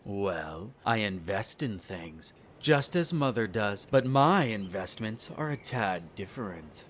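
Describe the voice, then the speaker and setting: A man playing a smug, bitter vampire noble, the eldest son, in an audio roleplay, speaking in a haughty, sardonic way with drawn-out theatrical phrasing. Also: on the low side, lively intonation, a bit bright, a little hiss or hum. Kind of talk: scripted audio roleplay acting addressed to the listener.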